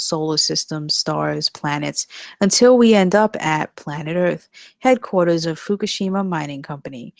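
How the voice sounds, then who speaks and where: Creaky-voiced woman reading screenplay narration. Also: on the low side, no room sound. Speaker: narrator reading stage directions. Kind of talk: scripted narration at a table read.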